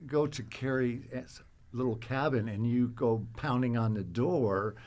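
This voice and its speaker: Mid-pitched man telling stories haltingly. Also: a little mellow. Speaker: podcast guest, actor. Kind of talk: casual chat.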